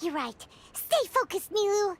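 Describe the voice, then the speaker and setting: A woman voicing a tiny, childlike, excitable companion in a video game, squeaky and eager. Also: measured pace, slight background noise, exaggerated voice. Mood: encouraging.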